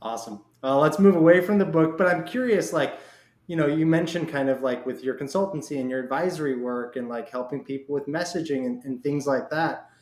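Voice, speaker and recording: A man's voice: on the high side, a little fast, darkish tone. A man hosting a conversational interview, feeling his way tentatively into long questions. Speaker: podcast host, interviewer. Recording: echoey, reverberant room sound.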